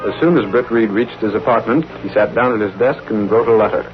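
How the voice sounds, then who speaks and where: Fast-talking male vintage radio announcer. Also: fairly low pitch. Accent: American. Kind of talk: 1930s radio scripted narration. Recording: muffled vintage radio, loud music.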